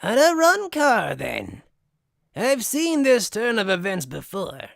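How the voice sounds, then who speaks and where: Man acting a creaky, haughty anime intellectual. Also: sits high, huge pitch swings, takes it slowly, a bit bright, dry recording, studio quality, heavily acted. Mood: disdainful, exasperated. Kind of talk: anime fan dub acting.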